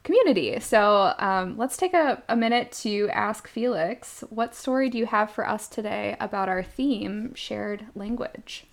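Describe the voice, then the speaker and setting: A woman with a mid-range voice co-hosts a relaxed podcast. She talks in a wry, self-deprecating way and laughs lightly through her own stories. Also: medium pitch, a little slow, creaky, few pauses. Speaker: podcast co-host. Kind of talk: casual podcast chat.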